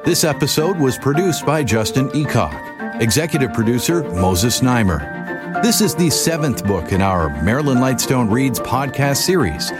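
A man's voice: mid-range voice, animated, moderate pace. A man reading scripted podcast credits as an announcer. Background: music behind the voice.

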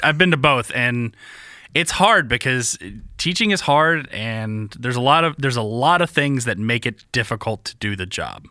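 A man's voice: mid-range voice, animated, moderate pace, sharp tone, no echo, studio quality. A man co-hosting a podcast in casual chat, giving frank, matter-of-fact asides. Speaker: podcast co-host.

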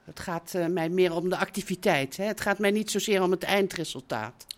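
A middle-aged woman playing the earnest mother of grown children in a radio drama, halting and often correcting herself. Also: fairly low pitch, lightly acted. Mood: evasive, hesitant. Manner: halting, evasive, self-correcting. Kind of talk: naturalistic radio drama acting.

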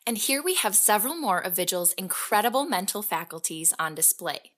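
A woman playing a composed narrator who recounts a superhero case in measured, expository, scripted documentary style. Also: medium pitch, expressive, fairly bright tone. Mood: composed, explanatory.

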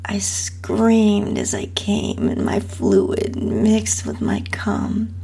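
A woman narrating an intimate first-person adult story in a breathy, half-whispered delivery. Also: medium pitch, wildly swooping pitch, very slow, mellow. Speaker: host and narrator reading own story.

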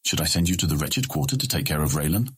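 An actor playing a stern, impatient fantasy guildmaster in an audiobook drama, curt and commanding. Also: male voice, extremely low pitch, big pitch swings, quick pace, husky, full character voice. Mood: demanding, scheming.

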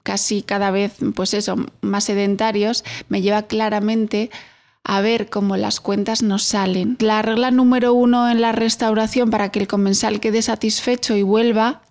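A woman of medium pitch gives expert commentary on a podcast. Her voice is creaky and flat in intonation as she explains and advises. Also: normal speed.

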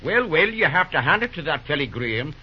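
A man's voice: on the high side, very animated, brisk, highs cut off, a little hiss or hum. An actor playing a scrappy, optimistic small-town railroad man, folksy and emphatic, in an old-time radio drama. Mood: emphatic, wry.

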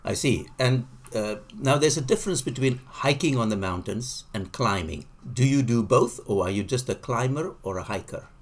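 A man hosting a studio interview podcast, asking questions in a measured way with fillers. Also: mid-range voice, animated. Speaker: podcast host. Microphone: studio mic.

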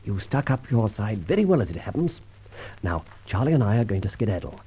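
Smooth-talking gentleman crook, very raspy voice, swoops around a lot, muffled old radio play. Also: on the low side, sing-song, a little fast, full character voice. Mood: breezy, commanding. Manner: breezy, witty, upper-class turns of phrase. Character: suave gentleman adventurer thief, cool, jaunty and commanding. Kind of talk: old radio drama acting.

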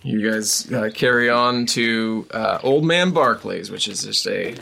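Bright-voiced man narrating as game master. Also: on the low side, creaky. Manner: halting, casual. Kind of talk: improvised tabletop game narration.

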